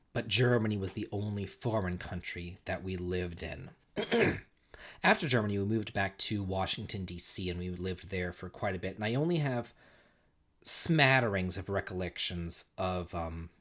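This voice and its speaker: A man's voice: low voice, expressive, a little slow, a bit bright. A man in his forties hosting a casual livestream, a bit tipsy, rambling and repeating himself while telling stories and reading chat. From United States. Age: 40s. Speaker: livestream host.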